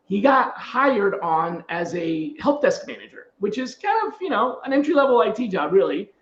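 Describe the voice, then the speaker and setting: A middle-aged man with a high-set voice tells stories in a relaxed, conversational way as a podcast host. The sound is roomy and slightly muffled, as if the microphone misses some of the detail. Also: moderate pace. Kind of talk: casual conversational anecdotes.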